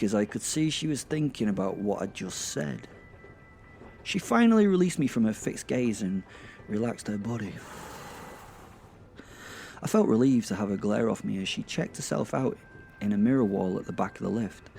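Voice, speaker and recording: A man's voice: lowish voice, creaky, mellow, slight background noise. A British man narrating a first-person audio drama in a bawdy, hesitant, self-interrupting way.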